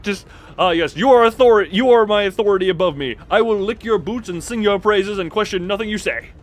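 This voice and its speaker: A man's voice: high-pitched, a little fast, faint music behind. A man streaming a story game, reading dialogue aloud and joking in a self-mocking way. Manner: jokey, self-mocking, breaks into laughter.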